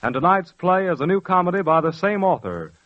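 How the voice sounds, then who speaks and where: A man's voice: high voice, expressive, brisk, background noise. A man announcing a radio program from a script in formal 1940s style. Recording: dull old 1940s radio recording.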